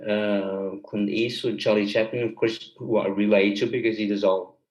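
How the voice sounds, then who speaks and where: A man's voice: fairly low pitch, little pitch movement, normal speed. A man from Germany talking casually as an interview guest, explaining things with enthusiasm as a film director and composer. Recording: roomy, echoey sound.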